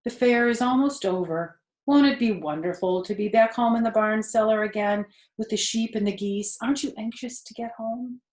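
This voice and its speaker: A woman's voice: on the high side, moderate pace, few pauses, a little mellow, reverberant, lightly acted. A woman voicing an earnest, emotional young male pig in a children's storybook, with a pleading, wide-eyed manner. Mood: hopeful, eager. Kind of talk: children's storybook character reading.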